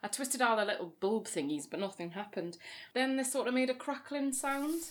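A woman's voice: on the high side, moderate pace, close to an everyday voice. An actress playing a practical, self-controlled grieving sister and mother in a naturalistic audio drama. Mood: matter-of-fact. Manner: understated, dry humour. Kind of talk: naturalistic audio drama acting, comedy and pathos.

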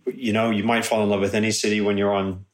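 A man in his fifties from California with a mixed American and British accent, a guest talking casually over a video call, halting with many restarts. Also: on the low side, level tone. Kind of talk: casual conversation.

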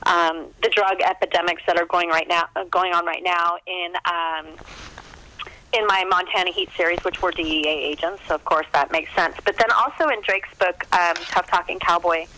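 A woman's voice: on the low side, fairly even intonation, moderate pace, bright, forceful tone, slight background noise, low-quality recording. A woman talking casually as a guest author in an interview, chatty and enthusiastic. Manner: chatty, enthusiastic about books.

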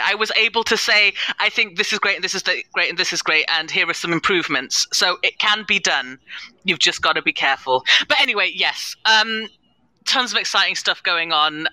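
A woman talks casually on a panel with real enthusiasm. Her voice is very bright and forceful, with a smooth, clear tone. The sound is close and dry. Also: lowish voice, dry recording, rough recording. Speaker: panellist, audio drama producer and sensitivity consultant.

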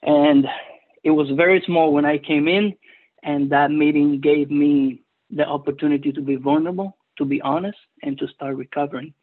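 A man from Cuba with a Cuban Spanish accent, Spanish his first language, giving an earnest personal testimony at a recovery meeting with many hesitant pauses. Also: on the high side, fairly even intonation. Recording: tinny phone-line audio.

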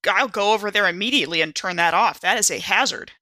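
A woman voicing a stern, disapproving female mechanic who speaks in a clipped, deadpan way. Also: medium pitch, fast, natural voice.

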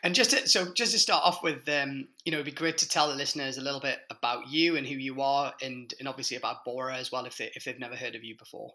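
A man's voice: mid-range voice, fairly even intonation, fast talker, few pauses, sharp tone. A man hosting an industry podcast in a conversational style, speaking hesitantly with frequent restarts. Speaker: podcast host. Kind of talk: conversational interview.

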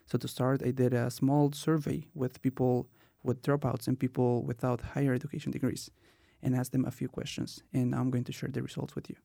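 A man's voice: medium pitch, flat delivery, a little fast, gravelly, warm tone, dry recording, clean studio sound. A man in his twenties from Colombia, hosting a student podcast and telling his own story with many "uh" and "um" fillers. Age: 20s. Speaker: podcast host. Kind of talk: casual podcast talk.

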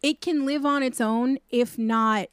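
A woman's voice: fairly high pitch, measured pace, smooth, no room sound, studio quality. A woman co-hosting a casual movie review podcast, speaking directly and emphatically. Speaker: podcast co-host.

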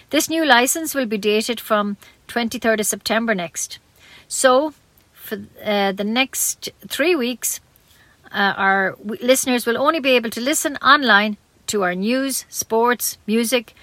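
A woman with an Irish accent reads community news from a script. Her voice sits fairly high for a woman. The sound is dry, with very little room in it. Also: pushed and bright, no room sound. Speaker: community radio newsreader. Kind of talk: scripted local news reading.

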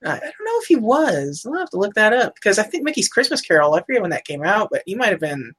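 A woman co-hosting a casual chat about old cartoons, chatty and quick to laugh, looking things up as she talks. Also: mid-range voice, sing-song, a bit bright, husky. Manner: chatty, laughs often, looks things up aloud. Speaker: podcast co-host.

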